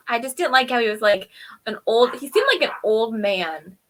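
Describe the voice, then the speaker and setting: A woman's voice: fairly high pitch, lively intonation, slow pace, a bit bright, low-quality recording. A woman co-hosting a review show in casual chat, telling stories in an informal, self-deprecating way. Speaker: podcast co-host reviewing TV episodes.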